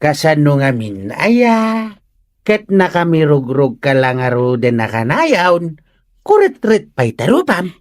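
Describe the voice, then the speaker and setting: A woman's voice: low-pitched, very animated, takes it slowly, a little mellow, heavily acted. Lady playing nosy teasing neighbor, ilocano comedy drama, super swoopy sing-song taunts. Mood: needling. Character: teasing, mocking, nosy village woman who needles a lonely wife.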